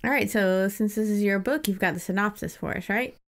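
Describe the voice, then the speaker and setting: A woman's voice: mid-range voice, a little fast. A woman hosting a podcast, chatting casually about a book in an informal, joking way. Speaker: podcast host. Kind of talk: casual book discussion chat.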